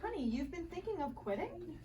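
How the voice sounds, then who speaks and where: A woman's voice: extremely high pitch, sing-song, fairly quick. A woman playing a sarcastic, exasperated but caring wife in a live radio play reading. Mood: surprised. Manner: dry, sarcastic, sharp-tongued. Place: live reading at a bookshop event. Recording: distant mic, faint room noise.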